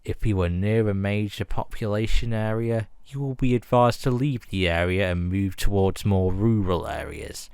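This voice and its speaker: Deep male voice, dry close sound, doing a grave measured emergency alert readout. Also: smooth, no echo. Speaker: announcer. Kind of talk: scripted fictional emergency broadcast announcement.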